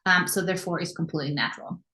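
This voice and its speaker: A woman from Mexico with a Mexican Spanish accent, a therapist talking reflectively in a panel discussion with frequent "um" pauses. Spanish is her first language. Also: fairly low pitch, bright, forceful tone. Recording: roomy echo.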